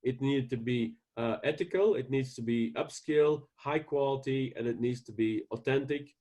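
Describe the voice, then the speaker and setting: A man with a Belgian accent, a guest ranch owner talking earnestly in an interview, often pausing on fillers and restarting. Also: mid-range voice, warm tone. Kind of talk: casual interview.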